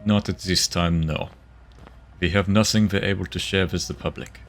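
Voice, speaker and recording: A man playing a guarded, matter-of-fact investigator in a sci-fi audio drama, with a dry, measured delivery. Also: low-pitched, steady tone. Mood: aloof.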